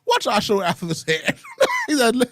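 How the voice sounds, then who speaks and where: A man co-hosting a morning show in casual chat, quick to laugh. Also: high-pitched, no room sound, clean studio sound. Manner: animated, laughs readily. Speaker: co-host of a morning radio show. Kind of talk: casual chat, reacting to listener comments.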